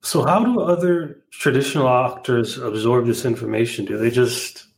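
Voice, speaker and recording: A man's voice: mid-range voice, normal speed, vocal fry, low-quality recording. A man hosting an interview, reacting briefly and asking short follow-up questions. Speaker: podcast host.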